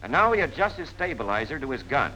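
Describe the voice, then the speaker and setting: A man's voice: medium pitch, big pitch swings, quick pace, pushed and bright. An American man gives plain-spoken, punchy instruction in the style of a 1940s military training film narration. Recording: noisy 1940s film soundtrack.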